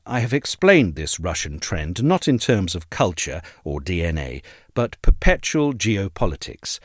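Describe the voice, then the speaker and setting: A man reading a scripted essay as narrator, in a measured, articulate, formal manner. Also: lowish voice, no room sound, studio quality.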